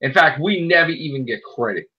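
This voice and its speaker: A man's voice: a little high, lively intonation, a little fast, slightly rough. A man co-hosting a podcast, chatting casually and pushing his opinions hard, often repeating a point to stress it. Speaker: podcast co-host. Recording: muffled lo-fi audio.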